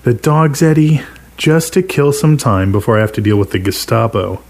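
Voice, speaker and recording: A man's voice: mid-range voice, lively intonation, normal speed, darkish tone, voice pushed up, lightly acted. A man voicing an anxious, exasperated girlfriend in a story, pleading and snappy in manner. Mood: nagging.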